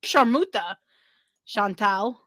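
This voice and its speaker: A woman's voice: mid-range voice, animated, takes it slowly, fairly bright tone, dry recording, studio quality. A woman ranting profanely and defensively on a livestream. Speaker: streamer being reacted to. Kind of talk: angry livestream rant.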